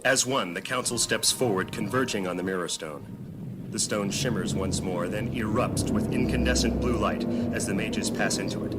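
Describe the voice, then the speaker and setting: A male actor as a solemn fantasy narrator with a fairly deep voice. He delivers ornate, dramatic prose in a measured way, under very loud music and effects. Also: lightly acted.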